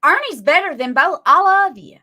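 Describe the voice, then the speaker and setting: A woman's voice: very high voice, lively intonation, normal speed, pushed and bright. A woman, scolding and mocking her critics on a live stream in a sarcastic drawl. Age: 40s. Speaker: livestream guest.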